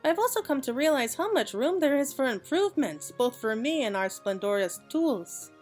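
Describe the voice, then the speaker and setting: Woman reading as an earnest high-voiced young apprentice. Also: sing-song, moderate pace, a little mellow, soft background music. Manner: formal, courteous phrasing. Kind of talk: video game dialogue read aloud.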